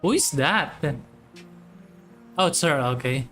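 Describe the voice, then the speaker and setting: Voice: mid-range voice, expressive, slow pace, sharp tone, soft background music. A gamer giving casual, offhand gameplay commentary in short reactions. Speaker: gaming video creator.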